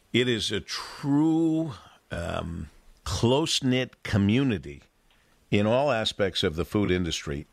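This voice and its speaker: An older male radio host speaks in a low voice with a lot of rise and fall, pausing deliberately as he leads the interview. The studio sound is close and dry. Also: no room sound.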